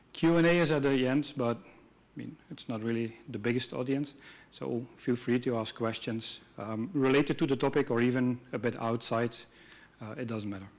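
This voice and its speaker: Belgian man giving a relaxed tech talk. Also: mid-range voice. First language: not English. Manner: conversational, relaxed, with frequent ums. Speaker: conference speaker, IT trainer. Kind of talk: conference tech presentation. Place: conference stage.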